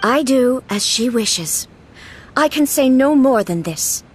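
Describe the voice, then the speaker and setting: A woman's voice: high voice, animated, takes it slowly, a little hiss or hum, rough recording. A woman voicing a stern, detached puppet guardian in a video game, speaking in a formal, clipped, commanding way. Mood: impassive.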